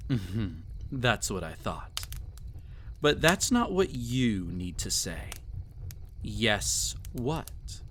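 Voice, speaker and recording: A man's voice: fairly low pitch, sing-song, drawn-out words, a bit bright, a little hiss or hum, full character voice. A man playing a cruel, possessive, vain emperor toying with a captive in an intimate audio roleplay, with haughty, teasing, theatrical phrasing. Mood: condescending, coaxing.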